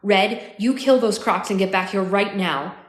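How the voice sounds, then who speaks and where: A woman's voice: mid-range voice, fairly even intonation, moderate pace, lightly acted. Echoey room. Desperate female fighter acted by a narrator, urgent, pleading sister in an adventure story. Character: frantic woman fighter pleading with her sister. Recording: echoey, roomy sound.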